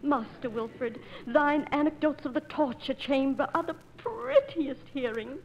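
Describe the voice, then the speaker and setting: Sly flirt, slow and high. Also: female voice, expressive. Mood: coy flattery. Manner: theatrical, archaic, arch diction. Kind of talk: 1940s radio operetta acting. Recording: muffled 1940s radio transcription disc.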